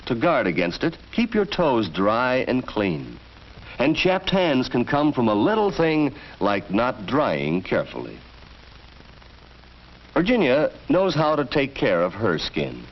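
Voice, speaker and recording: American man, lively 1940s educational film narration. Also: mid-range voice. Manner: instructive, storytelling delivery. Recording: dull vintage film soundtrack, faint noise.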